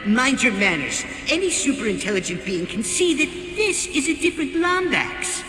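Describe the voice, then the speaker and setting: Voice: sits high, sing-song, moderate pace, bright, forceful tone, roomy sound, in a large hall, soft background music, heavily acted. An actor voicing a polite, brainy alien in a video game, prim and helpful, explaining things. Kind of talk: video game cartoonish acting.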